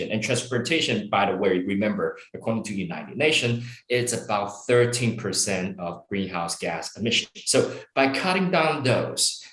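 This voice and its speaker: A middle-aged man from Taiwan, a startup founder answering interview questions in long, animated run-on explanations with fillers. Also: mid-range voice, echoey room, low-quality recording.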